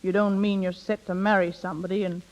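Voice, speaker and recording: A worldly, scheming woman played by an actress in an old radio romance. She coaches with wry, bossy assurance in a middling pitch. The vintage broadcast sounds dull and muffled. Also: little pitch movement, fast, darkish tone, close to an everyday voice. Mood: scheming, coaxing. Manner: knowing, wry, mimicking. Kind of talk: 1930s radio acting.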